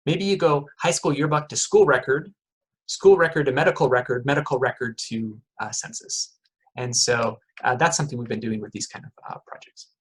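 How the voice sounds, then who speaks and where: A man's voice: mid-range voice, fairly quick, husky, poor audio. A middle-aged man, an economics professor, giving a casual lecture to students, friendly and chatty with lots of okays and uhs. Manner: friendly, quizzes the audience, many fillers like uh and okay.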